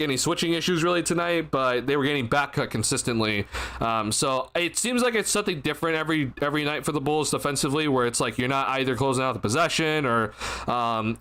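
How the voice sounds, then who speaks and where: A man's voice: medium pitch, fairly bright tone, clear, resonant tone. A tired, slightly stuffy young man hosting a casual postgame livestream, rambling with lots of fillers and restarts into a desk mic. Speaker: sports livestream host. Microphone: desk mic at a streaming setup.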